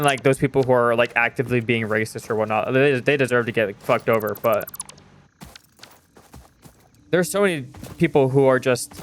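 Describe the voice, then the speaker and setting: A man's voice: medium pitch, a bit bright, slight background noise. A young man streaming a game and chatting with viewers in a loose, rambling way, full of fillers and casual swearing. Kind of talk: casual live-stream chat while gaming.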